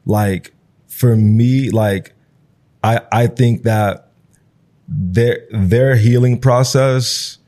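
A man's voice: medium pitch, fairly even intonation, extremely slow, mellow. A Nigerian-born man in his thirties, Igbo his first language, talking in an interview in a loose, reflective way full of "like" and "you know". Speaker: guest. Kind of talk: conversational interview.

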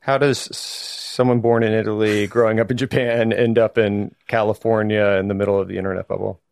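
A male interviewer with a low, gravelly voice, asking relaxed questions. The recording is dry and close. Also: a little fast, dry recording.

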